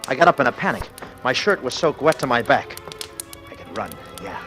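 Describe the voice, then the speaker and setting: A man's voice: medium pitch, sing-song, brisk, pushed and bright, faint music behind. An actor playing a desperate, panicked businessman who narrates his ordeal in clipped, urgent sentences, in 1940s radio thriller style. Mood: rising panic. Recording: noisy old-time radio recording.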